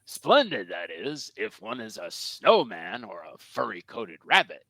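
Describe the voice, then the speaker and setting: A man's voice: lowish voice, big pitch swings, a little slow, a bit bright, dry recording. A man narrating a children's story at a live script reading, with a storyteller's emphasis and wry asides.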